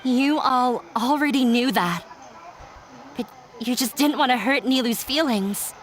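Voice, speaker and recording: Young scholarly woman, slightly high pitch, blunt, pessimistic, measured, game dialogue, faint noise. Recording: faintly noisy game capture.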